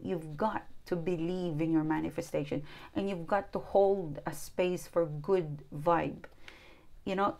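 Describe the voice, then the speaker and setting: A woman's voice: fairly low pitch, a little mellow. A woman whose first language is not English, giving an earnest tarot reading with lots of repeating and restarting, recorded indoors at a table. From outside New Zealand. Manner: earnest, repetitive, coaching tone with restarts. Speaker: tarot reader.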